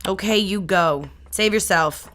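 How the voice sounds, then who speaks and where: A woman's voice: mid-range voice, a little slow, a bit bright, close to an everyday voice. An actress playing an easygoing, kindly single woman in an audio drama. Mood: fond, easygoing.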